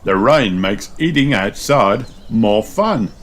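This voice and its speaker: A friendly grown-up kangaroo dad, relaxed and hearty with an unhurried, slightly raised voice, as a man reads him in a storybook. A noisy hiss runs beneath. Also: fairly low pitch.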